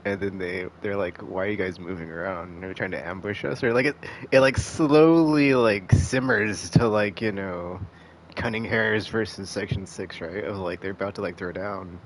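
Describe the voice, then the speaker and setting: Low-voiced man rambling on a game stream. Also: slight background noise. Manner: rambling, halting, heavy use of filler "like". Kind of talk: casual live stream commentary.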